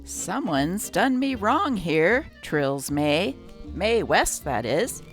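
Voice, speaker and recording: A woman's voice: lowish voice, sing-song, slow pace, soft background music. A woman narrating from a script as host, reading an old newspaper aloud.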